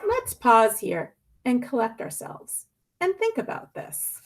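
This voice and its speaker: A woman reading a picture book aloud expressively, with deliberate dramatic emphasis and pointed pauses. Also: on the high side, big pitch swings, a little hiss or hum.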